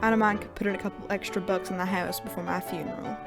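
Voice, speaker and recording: A woman's voice: medium pitch, fairly even intonation, fast, vocal fry, loud music behind the voice, lightly acted. A woman playing a weary, sardonic veteran male sheriff in a Western audio drama, with an American accent and a dry folksy drawl.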